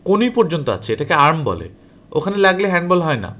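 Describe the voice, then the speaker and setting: A brash storybook boy read by a man, quick to argue and full of temper. His delivery is combative and swings in pitch, in a storybook character style. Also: sing-song, fairly bright tone. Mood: indignant, arguing. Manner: combative, argumentative. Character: brash, hot-tempered boy with an amputated hand.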